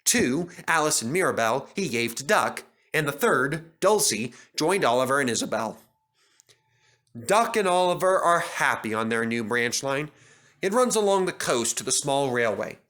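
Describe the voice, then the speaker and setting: A man reading a children's story as narrator, in an expressive scripted style. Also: medium pitch, normal speed.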